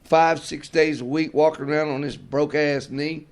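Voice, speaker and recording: Podcast host, fifties, Southern drawl, blunt and crude, slightly high voice. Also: male voice, level tone, moderate pace. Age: 50s. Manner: blunt, crude, rambling storyteller. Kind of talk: casual chat.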